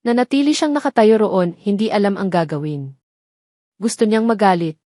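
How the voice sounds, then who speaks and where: A woman's voice: mid-range voice, animated, normal speed, dark tone, no echo, clean studio sound. A woman reading scripted dramatic story narration.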